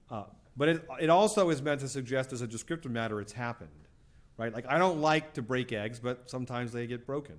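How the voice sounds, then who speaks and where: A middle-aged man, a law professor, answering audience questions after a lecture in a casual, conversational way, often adding "right?" and "you know". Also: medium pitch. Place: lecture hall.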